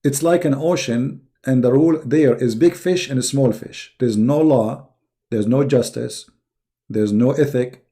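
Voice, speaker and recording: A man with an Arabic accent speaks in a very dark, mellow voice of middling pitch with plenty of gravelly creak. A native Arabic speaker, he delivers informal political commentary off the cuff. He is emphatic, often restating a point in new words. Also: a little slow. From Middle East. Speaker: live-stream host and commentator.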